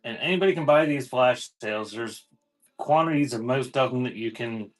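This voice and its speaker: A man's voice: medium pitch, moderate pace. Man hosting a casual livestream sale. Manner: informal, off-the-cuff announcing. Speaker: host of a live online auction selling cassette tapes. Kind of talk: casual livestream sales patter.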